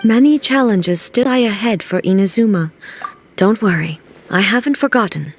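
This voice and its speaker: A solemn goddess who rules a nation, acted by a woman, speaks in a medium-pitched voice. Her delivery is formal, measured and resolute, as in video game dialogue, with faint music behind her. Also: lightly acted. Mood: grave, measured. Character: solemn, dignified female ruler deity, resolute and formal.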